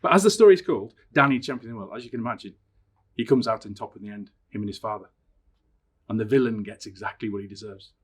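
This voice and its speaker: A middle-aged man, a teacher, talking about books and reading a story aloud with expressive, dramatic emphasis. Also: on the low side, quick pace, slightly rough. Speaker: science teacher reading a favourite book aloud. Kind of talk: personal introduction and storybook reading.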